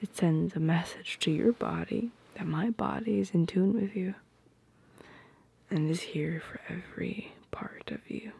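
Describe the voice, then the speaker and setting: A woman voicing a tender, playful, possessive girlfriend in an ASMR roleplay, speaking softly and intimately close to the microphone in a breathy, often whispered voice. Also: on the low side, heavily acted. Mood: soothing, tender. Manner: soft, intimate, unhurried, teasing. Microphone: close microphone, dry.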